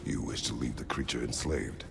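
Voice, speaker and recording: An extremely low, heavily gravelled voice of a stern warrior father. He speaks in terse, formal phrases with stoic gravity, in dramatic video game style, with loud music behind him. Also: steady tone, brisk, full character voice. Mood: grave, sincere.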